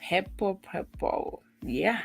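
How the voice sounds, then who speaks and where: A woman's voice: mid-range voice, expressive, slow, gravelly. Upbeat woman show host. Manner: upbeat, chatty, addresses viewers directly. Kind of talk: talk show hosting.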